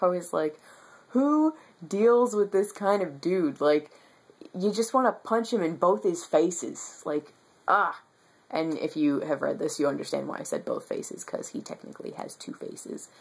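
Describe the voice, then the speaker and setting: A woman's voice: low-pitched, husky. A young woman with a stuffy nose and a tired voice chats about books on her webcam, rambling with lots of ums. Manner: rambling and enthusiastic, many ums. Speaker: book vlogger. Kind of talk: casual monthly reading wrap-up. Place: bedroom with bookshelves, indoors.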